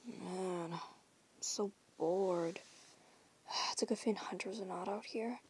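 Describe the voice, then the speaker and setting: A woman's voice: mid-range voice, slow pace, a little hiss or hum, lightly acted. A woman voicing a teasing young female werewolf in an audio roleplay, whispering huskily and swearing casually. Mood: bored musing. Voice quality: whispery, husky.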